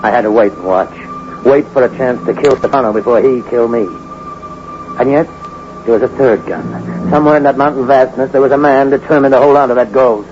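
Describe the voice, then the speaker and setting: An actor playing a ruthless gold hunter from the Bronx, narrating in a tough, cynical first-person voice. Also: male voice, medium pitch, a little fast, natural voice. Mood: cold. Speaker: narrator and lead character. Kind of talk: 1940s radio acting. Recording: muffled old radio recording with music.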